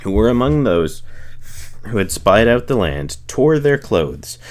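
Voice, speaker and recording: A man reading scripture aloud as expressive narration, delivering the speeches in a solemn, declamatory way. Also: fairly low pitch, measured pace. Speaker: reader of a Bible audiobook series.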